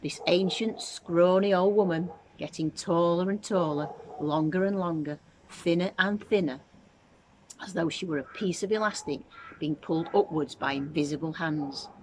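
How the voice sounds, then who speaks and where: A woman reading a children's story aloud as a teacher would, with expressive narration. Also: on the low side, moderate pace, a little hiss or hum, low-quality recording.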